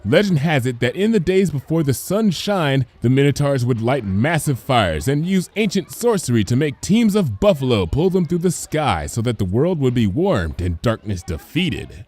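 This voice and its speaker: A man's voice: medium pitch, sing-song, normal speed, few pauses, pitched above the performer's own voice. A man voicing a female pony schoolteacher with a patient, explanatory manner. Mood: explaining. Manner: bright, explanatory.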